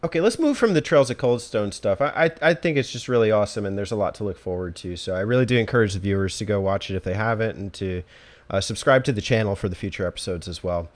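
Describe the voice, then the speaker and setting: A man's voice: lowish voice, animated, quick pace. A man hosting a casual interview, informal and encouraging as he thinks his questions through aloud. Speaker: podcast host.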